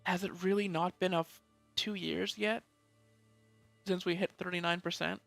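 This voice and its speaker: Man with heavy vocal fry, casual gaming commentary. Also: high-pitched, steady tone, moderate pace, fairly bright tone, no echo, soft background music. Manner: mild casual swearing, reads game text aloud. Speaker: let's play host. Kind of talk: casual gameplay commentary, thinking aloud.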